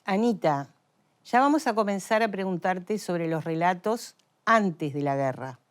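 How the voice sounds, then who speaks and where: A woman interviewing a survivor, asking brief, calm questions. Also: fairly low pitch, measured pace.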